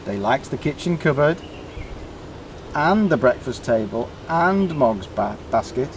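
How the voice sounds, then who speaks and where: A man reading a children's story aloud in short, deliberately emphasised phrases. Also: medium pitch, sing-song, a little hiss or hum. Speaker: storybook reader.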